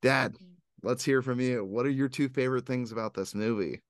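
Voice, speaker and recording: A man's voice: medium pitch, normal speed, dry recording. Young man hosting, dry close sound. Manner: joking, informal. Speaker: podcast host. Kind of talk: casual chat.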